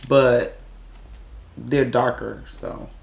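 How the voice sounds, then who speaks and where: A woman casually chatting through an unboxing for her viewers, her voice raspy from a cold as she admires each piece. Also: rumbling low, steady tone, drawn-out words, darkish tone. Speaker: unboxing vlogger. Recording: echoey room.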